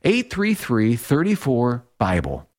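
Male ad spokesman, lively intonation, conversational radio commercial, mid-pitched. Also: slow. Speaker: advertisement spokesman.

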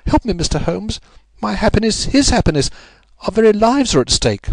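A man's voice: sits high, very animated, creaky, no echo, voice pushed up, full character voice. A man voicing a distraught, pleading upper-class married Englishwoman in a story, breathless and melodramatic. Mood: desperate. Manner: breathless, melodramatic, halting repetitions.

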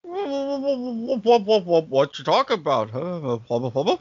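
A man with a high, swooping voice hosts a casual comedy podcast. He talks like a theatrical showman who trips over repeated words. The audio is dry, close and slightly dull. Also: big pitch swings, normal speed, breathy edge, no echo. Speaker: podcast host.

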